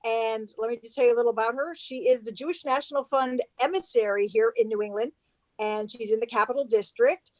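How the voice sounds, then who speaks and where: A woman's voice: a little high, a little fast, dry recording. A woman hosting an online event, introducing the guest speaker by reading her background and stumbling a little over the details. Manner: reading a bio with small stumbles. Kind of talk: introduction from notes. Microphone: video call.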